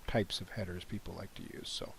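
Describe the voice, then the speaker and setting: Hoarse man clearing his throat. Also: low voice, a little fast, a little hiss or hum, rough recording. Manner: relaxed, rambling, frequent "you know" and restarts. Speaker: software developer demonstrating his plugin. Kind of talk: screen-recorded software walkthrough.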